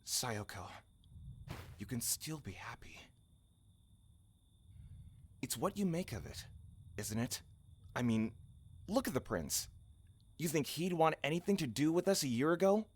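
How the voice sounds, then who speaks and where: Teasing, supportive male friend, played by an actor, gently probing, animated intonation, audio drama. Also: on the high side, measured pace, long pauses, husky, heavily acted. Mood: gentle, encouraging.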